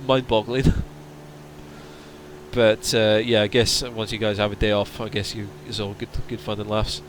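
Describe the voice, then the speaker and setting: A man streaming a flight simulator, chatting casually and answering viewers' questions while his voice starts to strain and go hoarse. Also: fairly low pitch, little pitch movement, moderate pace, a little hiss or hum. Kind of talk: casual livestream chat.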